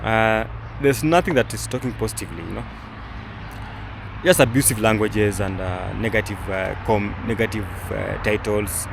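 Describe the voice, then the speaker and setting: A man's voice: lowish voice, normal speed, creaky. Young man rambling outdoors. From Kenya. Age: young adult. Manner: rambling, many fillers and restarts. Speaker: travel vlogger. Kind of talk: casual commentary to camera. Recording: outdoor recording with traffic noise.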